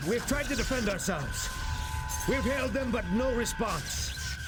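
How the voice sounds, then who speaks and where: An actor playing an alarmed starship officer in a video game, giving an urgent, strained report. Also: male voice, extremely high pitch, expressive, measured pace. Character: alarmed male starship officer logging an attack. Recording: old game audio, loud music behind.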